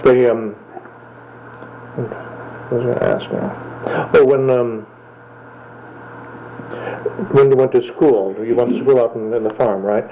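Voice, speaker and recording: A man conducts an oral history interview, nudging his subject along with patient questions and frequent mm-hmms. His voice sits at an ordinary pitch. The tape sounds muffled, with a faint hiss behind him. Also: slow, pauses often. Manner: gentle prompting with many mm-hmms and restarts.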